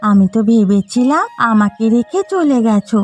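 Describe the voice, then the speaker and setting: A woman's voice: on the high side, lively intonation, moderate pace, darkish tone, husky, faint music behind. A woman voicing an anxious young village sweetheart in a cartoon story. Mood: anxious, reproachful. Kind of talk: cartoon voice acting.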